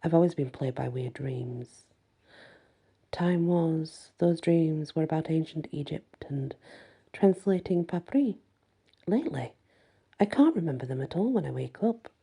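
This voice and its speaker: Female ASMR story reader: very dark timbre, husky texture, first-person fantasy narration. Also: low-pitched, expressive, unhurried.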